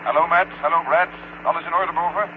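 A genial general at mission control in a vintage radio play. He is hearty and encouraging, his fairly high male voice coming through a phone-like radio link with clear noise. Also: fairly even intonation, brisk. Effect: radio-link filter. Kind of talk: 1970 Dutch radio drama acting. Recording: tinny, noisy radio-link sound.

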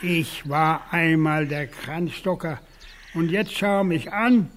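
An actor playing a bitter, world-weary old farmer facing death, brooding and halting, in a German radio play. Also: male voice, fairly high pitch, noisy background. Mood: resigned, bitter. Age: older.

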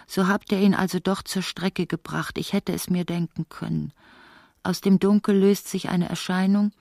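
A defiant woman revolutionary haunted by visions. Her voice sits fairly low, recorded very dry, as in an intimate radio play. She is proud and unbending. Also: fairly even intonation, moderate pace, vocal fry, darkish tone, dry recording. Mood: sombre. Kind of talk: radio play acting with narration.

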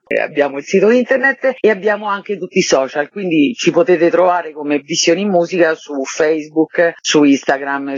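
A woman's voice: fairly low pitch, darkish tone, no echo. A woman artistic director giving programme information as a guest in a radio interview. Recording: dull, narrow-band sound.

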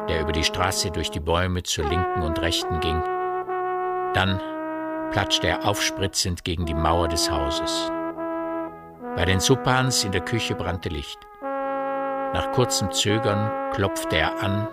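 A man narrating a radio play as a measured, literary storyteller. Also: sits low, expressive, loud music behind the voice.

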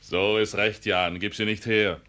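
An actor playing a hearty, good-naturedly authoritative village father in a German radio play, speaking in a folksy, deliberate way. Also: on the low side, flat delivery, pushed and bright, a little hiss or hum. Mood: amused, proud.

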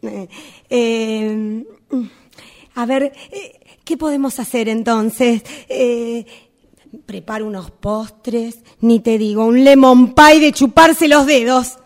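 Radio play role: awkward, eager Rioplatense Argentine woman, acted by a woman, high pitch, coaxing and hesitant. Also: fairly even intonation, slow pace. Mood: hopeful, awkward. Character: eager, hopeful woman awkwardly inviting someone out. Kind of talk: radio theatre workshop monologue.

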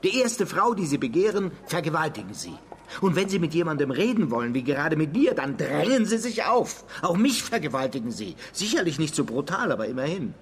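A man's voice: on the high side, very animated, brisk, slight background noise. An actor playing a disgusted, sarcastic man who is made to listen to a confession in a German radio drama, answering with biting indignation. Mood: accusing.

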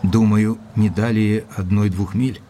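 An actor playing a composed gentleman narrating his own adventure in a measured way for an audio drama. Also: fairly low pitch, steady tone, slight background noise, natural voice. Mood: thoughtful. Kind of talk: scripted first-person audio drama narration.

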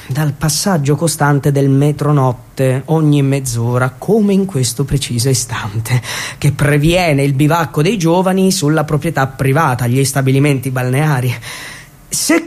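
Male stage storyteller, high-pitched voice, dramatic and wry delivery with weighty pauses. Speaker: actor-narrator. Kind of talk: theatrical solo storytelling.

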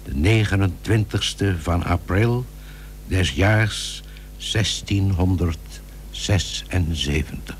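A man narrating an old radio drama from a script in a formal, grave, measured manner. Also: deep voice. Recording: old radio recording with faint hum.

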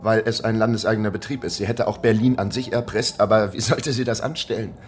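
A man's voice: fairly low pitch, fairly even intonation, quick pace, slight background noise, natural voice. An actor playing a nervous, hedging investigator in a radio crime drama, stammering and hesitating as he talks. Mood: evasive. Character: nervous, hedging male investigator, awkwardly protective. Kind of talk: radio play dialogue.

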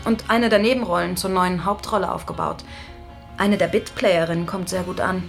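A woman's voice: fairly low pitch, steady tone, moderate pace, faint music behind, lightly acted. An actress playing a shrewd, wry and gossipy female deputy boss in natural radio drama dialogue. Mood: gossipy, teasing.

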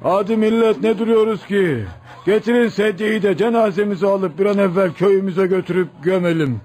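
Man acting weary old village elder, higher-pitched. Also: brisk, a little mellow, soft background music, natural voice. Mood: urgent. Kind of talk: radio theatre acting.

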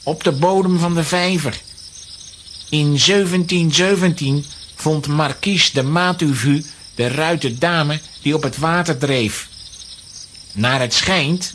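Slow, high-voiced obsessed castle owner. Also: male voice, a bit bright, slight background noise. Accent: Flemish. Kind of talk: children's audio drama acting.

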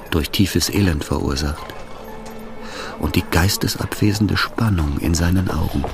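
A man reading scripted literary narration for a radio drama. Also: sits low. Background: music.

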